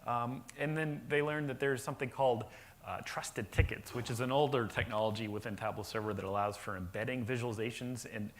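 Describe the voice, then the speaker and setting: A man giving a conference talk about software deployment to a live audience, explaining with frequent fillers and restarts and a naturally loud voice. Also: medium pitch, fairly even intonation, a little fast. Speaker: conference presenter. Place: conference session room.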